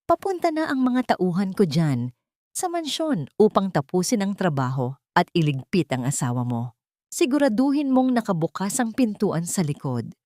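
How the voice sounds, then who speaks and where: A woman's voice: medium pitch, big pitch swings, moderate pace, dark tone, no echo, clean studio sound, lightly acted. Woman voicing a cold, greedy, menacing stepmother. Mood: cold, greedy, urgent. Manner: curt, contemptuous, commanding.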